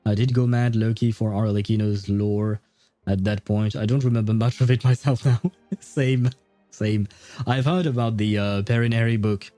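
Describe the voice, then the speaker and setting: Mellow male game streamer, dry sound. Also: lowish voice, fairly even intonation, normal speed, no echo, faint music behind. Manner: rambling, self-deprecating banter with viewers. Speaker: video game livestreamer. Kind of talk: casual livestream chat while gaming.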